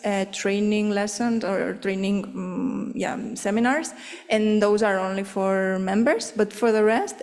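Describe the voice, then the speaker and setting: A woman's voice: medium pitch, a little mellow. A woman giving a conference presentation and answering questions, talking in a hesitant way with many fillers. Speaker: symposium presenter. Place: conference hall. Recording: long hall reverb.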